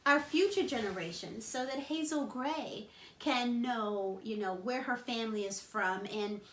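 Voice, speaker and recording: A woman's voice: on the high side, a little slow, a little hiss or hum. A woman in her thirties chatting earnestly in a home vlog, with frequent ums and restarts. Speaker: vlogger and wife. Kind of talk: casual home vlog chat. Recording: echoey room.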